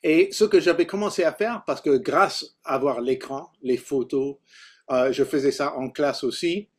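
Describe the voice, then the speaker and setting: A man from Canada with a slightly high voice leads an informal workshop as a language teacher. His native English shows in a mid-Atlantic English accent. He speaks haltingly, feeling for the next word in his second language. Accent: mid-Atlantic English accent in French.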